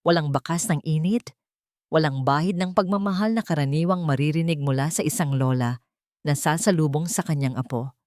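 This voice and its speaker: A woman's voice: low-pitched, fairly quick, a little mellow, no echo, studio quality. A woman reading a scripted story as a dramatic narrator.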